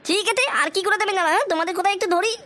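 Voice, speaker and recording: Squeaky, rapid, bratty cartoon boy. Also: bright, forceful tone. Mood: grumbling. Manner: whiny grumbling, crude, repeated question tags. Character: grumpy, foul-mouthed bratty boy. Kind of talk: amateur comic cartoon dub.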